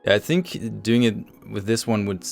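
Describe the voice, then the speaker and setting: A man hosting a developer livestream, explaining things casually in a measured, halting way. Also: lowish voice, creaky. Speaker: host and project lead on a developer livestream. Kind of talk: casual show-and-tell commentary over game screenshots. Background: faint music.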